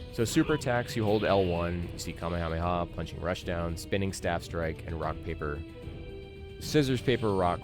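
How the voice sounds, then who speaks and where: Low-voiced man doing casual game commentary. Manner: conversational, rambling, opinionated. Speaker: gaming streamer and commentator. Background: video game music and sound.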